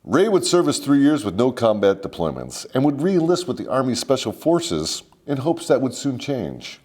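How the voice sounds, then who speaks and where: A man's voice: medium pitch, very animated, normal speed, a little mellow. A man hosting a scripted comedic military history story, mock-formal and sardonic with salty slang. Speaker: host and storyteller.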